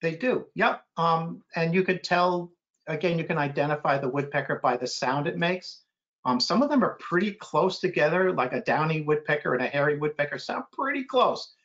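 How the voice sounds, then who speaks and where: A middle-aged American man speaks in a somewhat high voice, chatting casually as he answers questions. He is an author appearing as a guest on a video call, so the sound is a little dull and lo-fi. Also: lively intonation, moderate pace, fairly bright tone. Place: indoor room on a video call. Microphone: computer video call.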